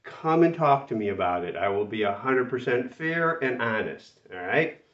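A man's voice: mid-range voice, lively intonation, normal speed, a bit bright. An older man in his sixties talks straight to the camera, slightly nasal from a cold and plainly exasperated, hammering his points with repetition. He sits a few feet from the mic in a small room, so the sound is roomy, distant and a little dull. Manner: exasperated, emphatic, repeats words for stress. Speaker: retirement channel host. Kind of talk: talking-to-camera commentary. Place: small room with a whiteboard, indoors.

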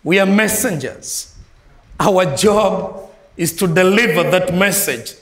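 A middle-aged man from Malawi preaching at a pastors' conference, energetic and emphatic, holding a handheld mic in a large hall. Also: high-pitched, slow. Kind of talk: sermon. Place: large hall with audience, indoors. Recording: echoey hall.

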